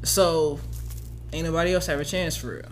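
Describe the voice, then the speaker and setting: A woman's voice: low-pitched, steady tone, normal speed. An American woman speaks as a podcast co-host in casual, unfiltered conversation. Her talk is blunt and slangy, with swearing mixed in. Faint noise sits under the voice. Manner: blunt, profane, informal. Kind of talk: casual chat.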